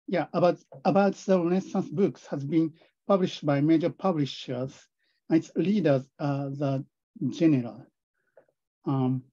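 Man, Japanese accent, mellow dark tone, halting hesitant English, academic presentation read aloud, dull video-call audio. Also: fairly high pitch. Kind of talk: academic talk read from a script.

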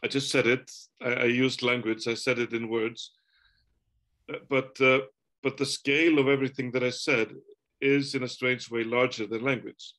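A man in his forties, a native Icelandic speaker, talking on a video call from a room at home, thoughtful and halting with many restarts. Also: medium pitch, fairly even intonation, fairly bright tone. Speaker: author. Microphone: video call webcam.

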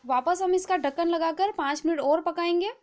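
A woman hosting a cooking channel, giving a calm, patient, step-by-step recipe voice-over. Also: very high-pitched, normal speed. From India.

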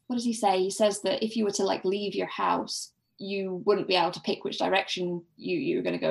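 A woman speaking as a podcast guest in a casual book discussion, tentatively softening her points. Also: fairly low pitch, creaky.

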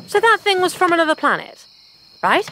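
An actress playing a curious, adventurous journalist in an audio drama. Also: female voice, very high voice, very animated, a little fast, pushed and bright, a little hiss or hum, full character voice. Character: Eleanor Agnew, curious adventurous female journalist.

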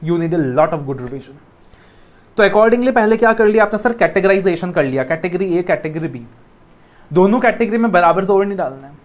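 A man's voice: high-pitched, expressive, normal speed. A man in his thirties with an Indian accent, giving a recorded classroom lecture on exam law. He talks in a coaxing, motivational way and mixes in English phrases. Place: indoor studio room with a whiteboard screen.

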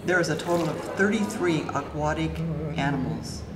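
A woman narrating a travel vlog in a calm, admiring scripted voice-over. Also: low-pitched, moderate pace, a crowd in the background. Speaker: travel vlogger. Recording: roomy voice-over under loud music.

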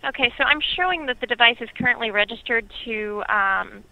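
A woman's voice: a little high, brisk, vocal fry. A woman working as a customer support agent on a phone call, polite and obliging.

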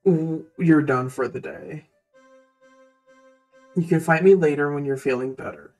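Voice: mid-range voice, unhurried, a little mellow, soft background music, lightly acted. A roleplayer voicing a stern, dismissive fantasy warrior in a tabletop game.

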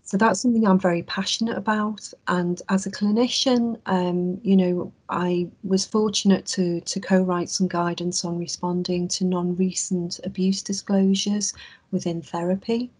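A woman from England, a clinical psychologist, giving an earnest, measured webinar talk over a video call. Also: fairly low pitch, flat delivery, darkish tone, husky. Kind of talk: webinar presentation.

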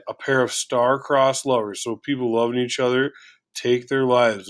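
A man with a low voice teaching a recorded lesson through a shared screen, casual and full of filler words.

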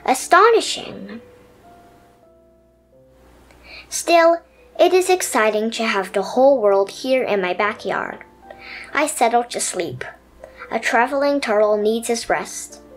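Woman as little storybook turtle, high voice, earnest, easily amazed, expressive, music behind. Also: measured pace. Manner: expressive storybook first-person telling.

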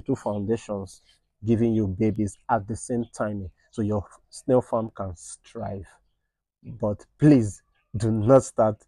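A man's voice: on the low side, dark tone, no echo, rough recording. A man in his thirties giving earnest, informal farming advice to a phone camera under an outdoor shed, often checking in with "right?". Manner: earnest, repeats points with "right?" and "all right". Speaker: snail farmer and channel host. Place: outdoor shed with tin roof at a snail farm. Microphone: phone camera at arm's length.

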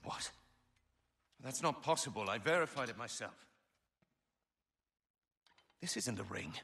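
A man's voice: mid-range voice, expressive, measured pace, gravelly, fairly bright tone. An actor playing a baffled, defensive male henchman in a video game scene, insisting on his point in bewilderment. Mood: bewildered. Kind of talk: video game cutscene acting.

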